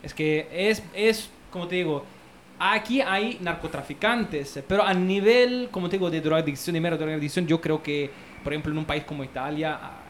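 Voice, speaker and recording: A young man with a fairly high voice talks slowly and thoughtfully, often pausing and restarting. A faint hiss sits under the recording. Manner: hesitant, thoughtful, uses vos. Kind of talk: casual chat. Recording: faint background noise.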